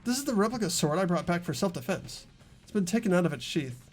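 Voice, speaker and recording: A man's voice: high-pitched, big pitch swings, fast, soft background music. A man voicing an anxious, earnest teenage boy, the protagonist of a video game, narrating his thoughts dramatically. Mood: worried.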